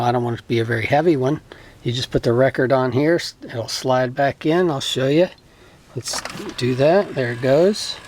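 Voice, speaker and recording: A middle-aged man talks casually and off the cuff as he shows things at home, rambling a little in a matter-of-fact way. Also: mid-range voice. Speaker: vlogger showing home electronics. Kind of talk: casual unscripted show-and-tell. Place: indoors at home.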